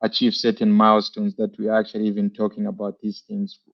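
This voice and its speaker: A man's voice: on the low side, completely flat delivery, brisk, vocal fry, dull audio. A man hosting a podcast over a video call, asking long questions filled with fillers. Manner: warm-spoken, rambling, many fillers. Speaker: podcast host. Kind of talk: interview questions.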